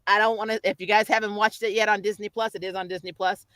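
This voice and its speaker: Bright-voiced woman chatting casually, close and dry. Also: medium pitch, little pitch movement, fast talker, dry recording. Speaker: host of a pop culture review show. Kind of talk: casual chat, reviewing shows.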